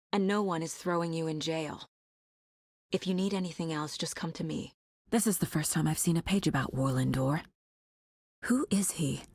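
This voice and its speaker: An actress playing a determined, wry federal agent investigating supernatural crimes in a video game, measured and matter-of-fact. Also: female voice, low-pitched, no echo, clean studio sound. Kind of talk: scripted game dialogue, investigative monologue.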